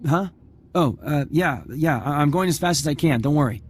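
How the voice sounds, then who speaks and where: A slightly high-pitched nervous young-sounding tech guy from a sci-fi video game. He stammers and mutters to himself, eager and easily flustered. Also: moderate pace, husky. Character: nervous, eager male computer slicer.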